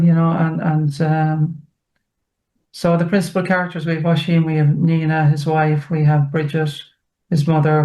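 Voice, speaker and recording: A woman interviewing an author, measured and summarising before she asks her question. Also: low voice, dull audio. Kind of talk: literary interview.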